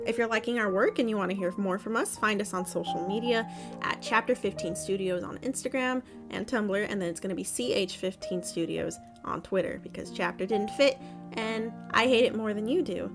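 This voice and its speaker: A woman hosts a podcast in an informal, chatty way with self-deprecating asides. Her voice is medium in pitch. Guitar music plays clearly behind her. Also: fairly quick. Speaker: podcast co-founder and host. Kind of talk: informal thank-you announcement to listeners.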